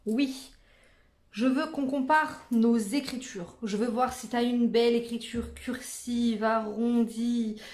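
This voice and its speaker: A woman's voice: a little high, fairly even intonation, takes it slowly, a little mellow, reverberant. A young woman chatting casually as a fellow vlogger, slangy and playful, swearing now and then. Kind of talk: casual chat.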